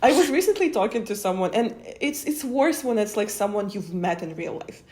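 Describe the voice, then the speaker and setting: A woman's voice: mid-range voice, lively intonation, fairly quick, background noise. A young woman who grew up in Spain, chatting casually as an interview guest, with frequent fillers and laughs. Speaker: interview guest, wrestling show host.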